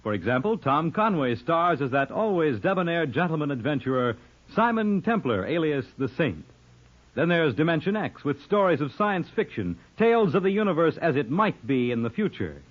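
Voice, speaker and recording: A man's voice: fairly high pitch, very animated, moderate pace. A man working as a radio announcer, reading scripted promos in 1950s broadcast style. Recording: muffled old radio recording.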